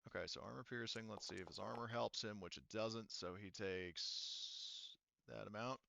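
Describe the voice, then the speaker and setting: Smooth-voiced man running a tabletop game. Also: lowish voice, fairly even intonation, moderate pace, sharp tone, no room sound. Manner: informal, rambling, swears. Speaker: game master. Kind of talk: casual narration of a tabletop game. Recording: dry, slightly muffled voice mic.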